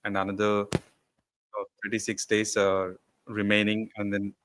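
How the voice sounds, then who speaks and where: Low flat-voiced man making event remarks. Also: unhurried, background noise.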